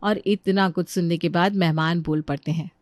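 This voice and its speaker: A woman's voice: fairly low pitch, fairly even intonation, moderate pace, a little mellow, no echo. A woman delivering scripted storytelling narration as the narrator of a family tale.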